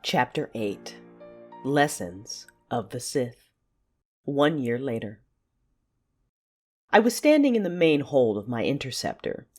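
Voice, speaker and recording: A woman narrating an audio drama in the first person, with a deliberate, theatrical delivery. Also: rumbling low, very animated, darkish tone, soft background music.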